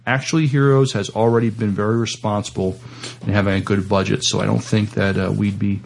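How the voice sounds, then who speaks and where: A man co-hosting a podcast, chatting casually and reading entertainment news in a halting, stammering way. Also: on the low side, fairly even intonation, gravelly, darkish tone. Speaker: podcast co-host.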